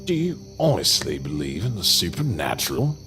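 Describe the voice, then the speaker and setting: A man plays an earnest young man in a horror audio drama, courteous and hesitant. His fairly low voice moves slowly but with very varied pitch, over clear background music. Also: very animated.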